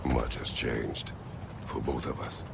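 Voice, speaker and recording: Game role: gruff, stoic warrior father. Rock-bottom pitch, thick gravel, clipped formal delivery. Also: little pitch movement, heavy background noise, exaggerated voice. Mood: stoic, guarded. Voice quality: very deep gravelly voice. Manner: terse, measured, formal phrasing without contractions. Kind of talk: video game dramatic dialogue.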